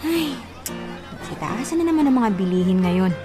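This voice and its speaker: A woman's voice: medium pitch, animated, moderate pace, over music, close to an everyday voice. A woman playing a weary, long-suffering wife in her thirties, meek and evasive, in a Filipino radio drama.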